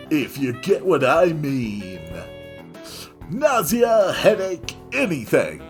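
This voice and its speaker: A man voicing a jovial, grinning, chummy male head nurse with emphatic, drawn-out delivery in a visual novel reading. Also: sits high, a bit bright, voice pushed up, heavily acted.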